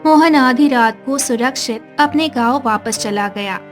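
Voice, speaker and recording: A woman's voice: on the high side, unhurried, faint music behind. A woman reading scripted narration for a cartoon story, as a storyteller.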